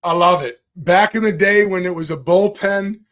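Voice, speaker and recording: A man's voice: high-pitched, moderate pace, a bit bright. Upbeat male interview host. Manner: upbeat and encouraging. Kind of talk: casual interview.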